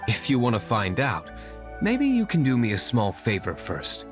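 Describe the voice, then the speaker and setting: A reserved young nobleman speaks in a formal, measured way, his mid-range voice still moving with expression. Game music sits clearly under his lines. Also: mid-range voice, wildly swooping pitch. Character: brooding young nobleman winery owner, cool and composed. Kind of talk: video game voice acting. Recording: game audio with music behind.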